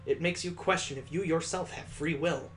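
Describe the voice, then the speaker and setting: A man with a somewhat high-pitched voice reads visual novel narration aloud. His delivery is expressive and playful, slipping into casual joking commentary as he hosts. A light layer of noise sits behind him. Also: normal speed. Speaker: gaming video host.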